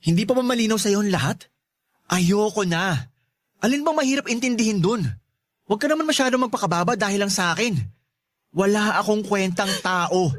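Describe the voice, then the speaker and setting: An actor playing a defeated, self-doubting young boyfriend in a radio drama, incredulous and exasperated, with a Filipino accent. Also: male voice, sits high, brisk, no room sound, studio quality, lightly acted. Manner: incredulous, exasperated, firing rhetorical questions.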